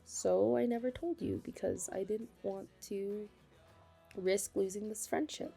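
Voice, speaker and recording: A young woman playing a flustered, affectionate best friend with a secret crush in an intimate ASMR roleplay, speaking hesitantly and haltingly. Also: medium pitch, gravelly, warm tone, husky, soft background music. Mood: shy, confessional. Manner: hesitant, halting, breaking into nervous laughs. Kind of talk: ASMR romantic roleplay, intimate conversational acting.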